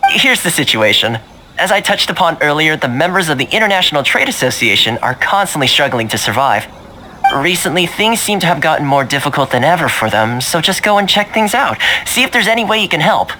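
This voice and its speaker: A man playing a suave, easygoing young fixer in a video game, talking in a smooth, wry, persuasive way. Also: a little high, big pitch swings, fairly quick, background noise. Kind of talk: video game dialogue acting. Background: game music.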